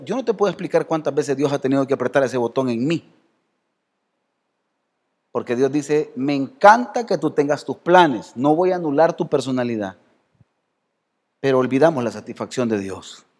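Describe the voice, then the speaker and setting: A Salvadoran pastor preaching to his church in a high voice. He talks conversationally and quizzes listeners by name. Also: darkish tone. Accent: Salvadoran Spanish. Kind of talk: sermon. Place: church hall.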